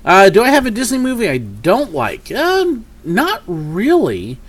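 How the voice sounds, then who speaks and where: A man's voice: sits high, huge pitch swings, unhurried. A man in his forties, a bit sniffly from a cold, chatting through a live question-and-answer stream from his home office at a desk webcam, upbeat and rambling with lots of fillers. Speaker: YouTube host. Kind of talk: live Q&A and mail call. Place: home office with shelves of collectibles.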